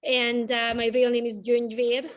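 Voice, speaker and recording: A woman's voice: on the high side, level tone, normal speed, lo-fi sound. A woman in her forties from Romania with a Romanian accent, chatting as an interview guest, laughing often and tagging her points with "right?". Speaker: guest, realtor and coach. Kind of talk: casual interview chat.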